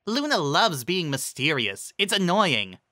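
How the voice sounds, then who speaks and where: A man's voice: fairly high pitch, sing-song, measured pace, bright, forceful tone, no room sound, pitched above the performer's own voice, full character voice. A man voicing a proud, weary female unicorn student with a dry, exasperated manner.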